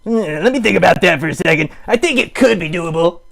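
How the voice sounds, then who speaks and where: A man voicing a needy, melodramatic puppet streamer, theatrical, sarcastic and mock-grand, with a gravelly, husky voice, improvising a comic livestream. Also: high voice, expressive, fast talker. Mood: conspiratorial, playful. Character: melodramatic, needy puppet live streamer with big wry bravado. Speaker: host of a live puppet show stream.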